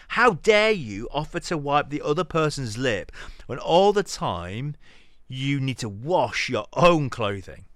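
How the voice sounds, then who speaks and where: A man giving a dramatic reading of a sermon, theatrical and exhorting, addressing the listener directly with deliberate pauses. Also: medium pitch, a bit bright, no echo, clean studio sound. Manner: direct rhetorical questioning of the listener. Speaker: podcast host reading scripture.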